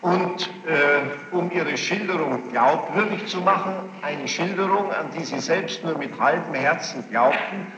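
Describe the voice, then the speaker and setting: A man formally questioning a witness as a judge, probing and insistent, with halting phrasing and a gravelly voice. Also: on the high side. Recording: noisy, muffled archival tape, echoey hall.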